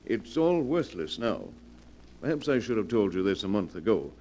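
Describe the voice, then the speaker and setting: A solemn, well-mannered judge in an old radio drama. His voice is lowish and mellow, his phrasing formal and halting, with a little noise on the vintage recording. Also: sing-song. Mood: grave, apologetic. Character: grave, courteous male small-town judge. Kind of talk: 1940s radio acting. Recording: slightly noisy 1940s radio recording.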